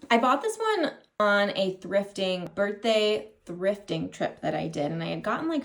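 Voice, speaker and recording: A woman chatting casually as a book vlogger, in an informal, rambling style where she often interrupts herself. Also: medium pitch. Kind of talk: casual chatty vlog to camera.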